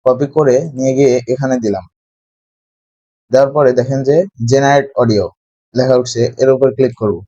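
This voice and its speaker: A man's voice: medium pitch, fairly even intonation, fairly quick, long pauses, dark tone, dry recording, dull audio. A man narrating a step-by-step screen tutorial as its host.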